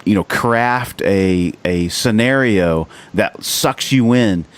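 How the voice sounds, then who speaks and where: A man's voice: on the low side, slow. An American man from the rural United States hosting a podcast, chatting casually in an earnest, rambling, sweary way. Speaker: podcast host. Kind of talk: casual chat.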